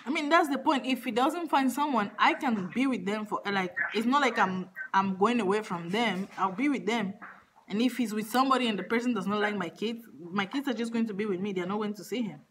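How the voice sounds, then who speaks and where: A woman prank-calling a relative, talking in a calm, matter-of-fact way with hesitant restarts. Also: mid-range voice, fairly quick, noisy background. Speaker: prank caller. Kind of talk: casual phone chat.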